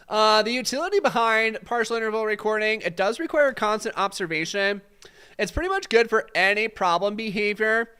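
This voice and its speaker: Congested guy running a live exam study stream, highish bright voice, clear tone, lots of um and like. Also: lively intonation, normal speed, long pauses. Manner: casual, reads chat questions aloud, filler-heavy. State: congested, voice fading. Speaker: exam prep instructor.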